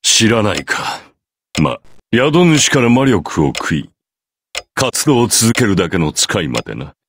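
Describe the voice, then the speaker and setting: An actor playing a coolly composed priest in an anime visual novel, explaining grim matters in a measured, formal, detached manner. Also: male voice, on the low side, very animated, slightly rough, no room sound, heavily acted. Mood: detached, grave. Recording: loud music under the voice.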